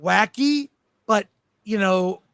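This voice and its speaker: High-pitched man hosting a casual chat. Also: takes it slowly, vocal fry, a bit bright, clear, resonant tone, no room sound. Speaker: host.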